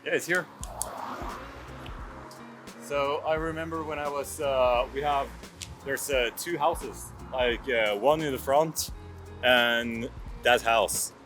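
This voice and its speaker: A man in his thirties from France, narrating a travel vlog in a casual, halting way. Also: medium pitch, music in the background. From France, moved to Norway at six. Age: 30s. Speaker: vlogger.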